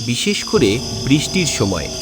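A man's voice: medium pitch, big pitch swings, unhurried. Man doing a chatty tea-stall friend. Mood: friendly. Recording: loud background music.

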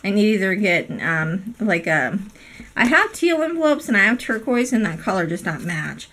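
Hoarse woman crafter, chatty vlog host, bright tone, lots of ums and apologies. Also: mid-range voice, very animated, normal speed. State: hoarse from a cold. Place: indoor craft room. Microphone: overhead camera, speaker off screen.

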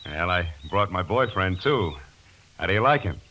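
An actor playing a chummy, smug small-town fellow in a 1940s radio drama, with a folksy, hesitant delivery. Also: male voice, deep voice, animated, normal speed, sharp tone, slight background noise. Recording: dull vintage 1940s radio broadcast.

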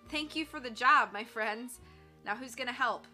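A woman voicing a confident, practical young woman detective, brisk and friendly. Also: on the high side, bright, forceful tone, faint music behind.

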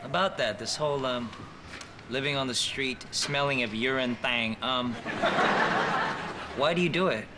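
Slow-talking, easygoing deadpan man, played by an actor. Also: mid-range voice, lightly acted. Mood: awkward, deadpan. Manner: casual, hesitant, deadpan. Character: awkward, easygoing man flirting with a homeless woman. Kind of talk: sitcom acting. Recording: loud background noise, ambience.

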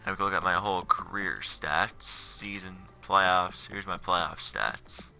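A man's voice: low-pitched, unhurried, vocal fry, sharp tone. A tired young man in his late teens giving rambling, casual commentary on a video game. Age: late teens, seventeen. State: tired, up in the middle of the night. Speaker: video gamer narrating his career mode. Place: voice-over on game menu footage. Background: music.

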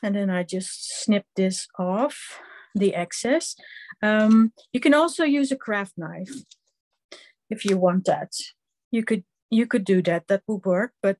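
Slow, hesitant Dutch-accented woman teaching crafts. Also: medium pitch, a little mellow, low-quality recording. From Netherlands. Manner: slow, halting, many ums, hands-on explaining. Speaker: craft instructor. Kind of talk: live online craft class demonstration.

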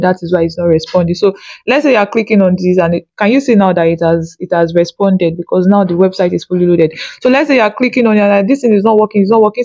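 Female tutorial instructor, mellow and patient, mid-range pitch, slightly dull recording. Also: no room sound. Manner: patient instructing with frequent comprehension checks. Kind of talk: step-by-step screen tutorial narration.

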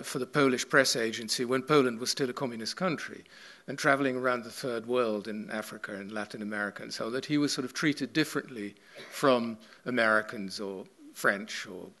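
Bright-voiced older male interviewer. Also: mid-range voice. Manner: meandering questions with many pauses and fillers. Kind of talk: onstage festival conversation. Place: theatre stage with audience. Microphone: table mic on a stand.

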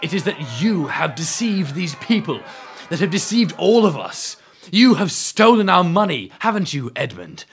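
An actor playing a desperate, self-justifying schemer in a fantasy audio drama, indignant and pleading. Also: male voice, high-pitched, very animated, normal speed, a bit bright, husky, faint music behind, exaggerated voice.

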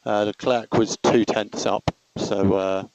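A man's voice: lowish voice, flat delivery, slow, a little mellow, no room sound. A man speaking as a race engineer over team radio, giving procedural instructions and feedback. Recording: noisy car team radio. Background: car noise.

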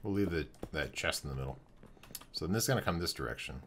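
A man's voice: very deep voice, expressive, quick pace, a little hiss or hum. A young man streaming a building game from home, thinking aloud as he plans rooms and greets his chat, his voice wearing out. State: losing his voice. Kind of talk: live gameplay commentary.

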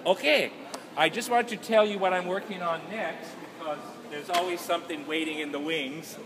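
A man's voice: on the high side, moderate pace. Older storyteller, man, noisy recording. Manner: animated, gesturing, colloquial storyteller. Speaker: author. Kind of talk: informal author talk and storytelling to an audience. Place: large indoor festival hall, noise behind. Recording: noisy large hall, ambient background noise.

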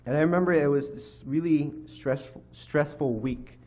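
A teenage boy giving a personal testimony at a church lectern, speaking haltingly with many fillers and restarts. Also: on the high side, mellow. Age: teens. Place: church hall, indoors. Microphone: microphone on a lectern stand.

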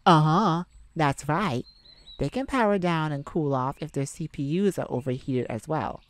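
A woman voicing a sweet, caring boyfriend in an intimate ASMR roleplay, tender, gently teasing and coaxing. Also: sits low, moderate pace, no room sound, close to an everyday voice. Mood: gently concerned. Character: sweet, caring young boyfriend comforting his partner.

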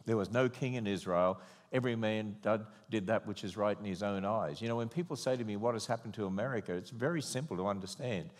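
Older Australian man preaching in a hall. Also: lowish voice. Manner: wry, animated storyteller, mock-quoting others in raised voice. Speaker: conference speaker. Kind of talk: sermon with storytelling. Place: large church auditorium. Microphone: podium microphone. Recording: long hall reverb.

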